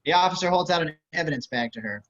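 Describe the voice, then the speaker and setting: Bright, higher-pitched man reading stage directions. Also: expressive, fast talker. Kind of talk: scripted narration of screenplay action. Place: video call. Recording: slightly muffled video-call audio.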